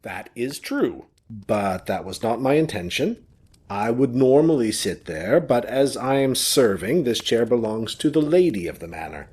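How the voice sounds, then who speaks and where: Husky, gracious manor lord. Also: male voice, mid-range voice, very animated, a little slow, a little mellow. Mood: gracious, sincere. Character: gracious, warm-hearted gentleman host of an old manor. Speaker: actor. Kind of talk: audio drama acting.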